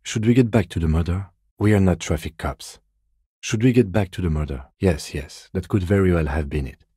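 A man playing a reserved, principled police detective in a video game, with a measured, formal, dry-witted delivery. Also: deep voice, slightly rough, dry recording. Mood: dry, composed. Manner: measured, deadpan, formal and understated. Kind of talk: scripted video game dialogue lines.

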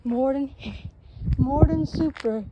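A woman's voice: sits high, takes it slowly, dull audio, very noisy. A young woman chatting casually in a vlog as she walks outdoors, rambling and restarting often. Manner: hesitant, rambling, with many restarts. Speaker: vlogger. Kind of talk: casual walking vlog chat. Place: outdoors on a sunny paved path. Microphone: phone held while walking.

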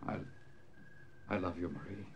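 A man's voice: low voice, moderate pace, muffled recording, a little hiss or hum. A man acting an earnest, lovestruck young corporal in an old radio drama. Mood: tender.

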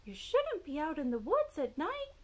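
A woman's voice: high voice, huge pitch swings, normal speed, voice pushed up. A woman voicing a motherly female bat in a children's storybook, fussing lightly and cautioning a little one. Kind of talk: children's picture book read-aloud.

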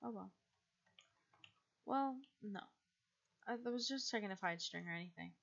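A young woman from the United States, chatting casually and rambling as she streams a game, with a husky, quiet voice and frequent laughs. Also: mid-range voice, normal speed, highs cut off.

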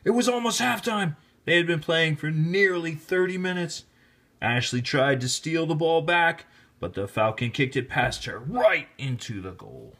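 A man reading a children's story aloud in an expressive, deliberate storytelling manner, with casual asides. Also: on the high side. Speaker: storybook reader, teacher. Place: indoors, filming the book held in hand.

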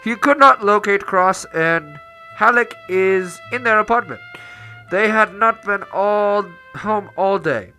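An actor playing a pompous, imperious armchair detective in 1940s radio drama, speaking formally and deliberately. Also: male voice, sits high, bright, forceful tone, soft background music, full character voice. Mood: reproving.

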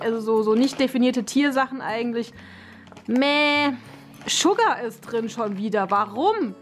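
A young woman, talking casually and thinking aloud as she reviews products in an unboxing vlog. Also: fairly high pitch. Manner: chatty, colloquial, thinking aloud. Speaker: dog owner and vlogger presenting an unboxing. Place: living room, indoors. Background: music in the background.

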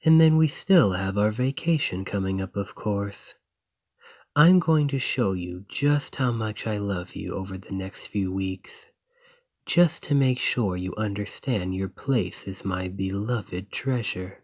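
Guy acting as gentle loving boyfriend, asmr roleplay, soft husky voice, slow n comforting, close dry sound. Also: fairly low pitch, dry recording. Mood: loving, earnest. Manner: tender, unhurried, reassuring. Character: devoted, gentle boyfriend reassuring a beloved.

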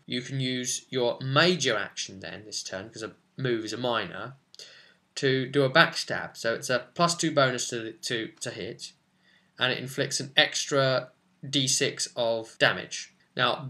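A man's voice: medium pitch, bright, forceful tone. A man in his twenties giving an informal explanatory tutorial on tabletop roleplaying, talking to camera at home. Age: 20s. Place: small furnished room at home, indoors.

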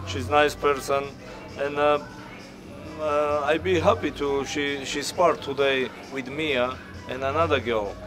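A man who is not a native English speaker, a boxing trainer speaking in halting, broken English with many fillers. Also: a little high, fairly even intonation, over music. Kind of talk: casual interview. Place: boxing gym, indoors.

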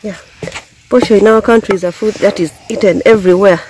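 A woman's voice: mid-range voice, fairly even intonation, a little fast, darkish tone. A young Ugandan woman with a Ugandan accent explains each step plainly as she cooks over an outdoor wood fire, recorded by a camera on the ground. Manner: explains each step plainly, talking to viewers while working. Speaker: village cooking vlogger. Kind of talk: casual cooking demonstration. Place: outdoors by a wood fire among banana plants. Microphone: camera on the ground, distance changes as she moves. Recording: noisy outdoor camera audio.

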